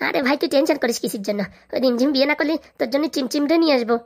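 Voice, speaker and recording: Woman voicing a high, rapid-fire young cartoon nephew. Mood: reassuring. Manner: chatty, colloquial. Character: brash young nephew, helpful and enterprising. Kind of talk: comic cartoon dialogue.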